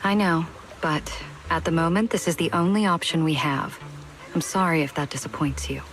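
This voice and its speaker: A low-pitched, measured female official for a game cutscene. She is formal and shrewd, a strategist who weighs each word with quiet resolve. Faint music plays underneath. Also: steady tone. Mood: apologetic, restrained. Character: composed, dutiful female department head, shrewd political strategist. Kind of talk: video game cutscene voice acting.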